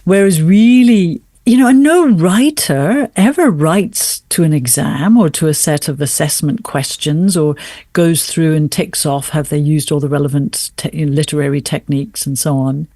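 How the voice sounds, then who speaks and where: A woman's voice: low voice, moderate pace, mellow, no echo, clean studio sound. A middle-aged woman speaking earnestly and articulately as an interview guest, with emphatic phrasing. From raised in South Asia, educated in Australia. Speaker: podcast guest, author and former English teacher. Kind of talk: conversational interview.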